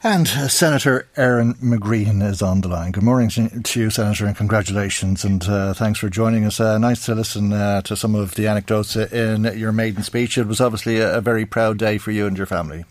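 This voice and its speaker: A man's voice: lowish voice, fairly even intonation, fairly quick, few pauses, no room sound. Hesitant Irish male radio presenter. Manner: hesitant, many "uh" fillers. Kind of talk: radio interview.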